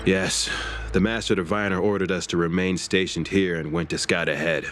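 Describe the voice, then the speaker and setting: Deep-voiced, dutiful game soldier. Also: male voice, steady tone, moderate pace, a bit bright, faint music behind. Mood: respectful, reporting. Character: dutiful soldier, urgent and respectful. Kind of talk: video game character acting.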